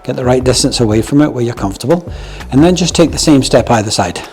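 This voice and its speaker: A man's voice: mid-range voice, lively intonation, fast, darkish tone, soft background music. A middle-aged Scottish man coaching golf in a casual, blunt and jokey way, often checking in with "yeah?". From Scotland. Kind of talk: casual instructional talk.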